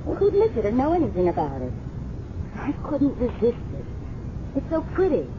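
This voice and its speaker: Excitable, rueful woman, animated voice. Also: a little high, normal speed. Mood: guilty, rueful. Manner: expressive, confessional. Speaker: radio drama actor. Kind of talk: 1970s radio drama acting. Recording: dull, noisy old radio broadcast.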